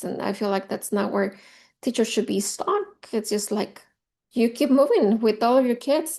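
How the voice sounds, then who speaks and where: A woman's voice: mid-range voice, dark tone. Woman trainer with a Spanish accent. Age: 40s. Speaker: teacher trainer leading a professional development session. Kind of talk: informal presentation to teachers.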